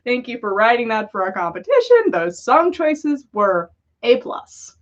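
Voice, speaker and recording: A woman with a fairly high voice speaks at a very unhurried pace. She is a playful, giggly host sharing stories in a casual livestream style. The audio is somewhat dull and lo-fi. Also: wildly swooping pitch. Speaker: podcast host reading listeners' stories aloud. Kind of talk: storytelling read-aloud with casual chat on a livestream.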